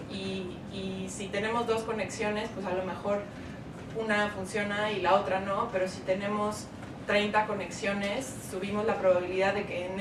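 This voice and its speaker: A Mexican woman speaking earnestly on a panel at an event, steady with small restarts. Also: medium pitch. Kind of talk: panel talk. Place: event hall. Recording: echoey hall, distant mic, noisy.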